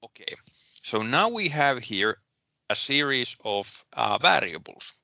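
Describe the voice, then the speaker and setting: A man with a Finnish accent giving a recorded programming lecture, pausing often with ums and restarting his sentences. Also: mid-range voice, very animated, creaky, pushed and bright, dry recording. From Finland. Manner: hesitant, many ums and restarts. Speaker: university lecturer.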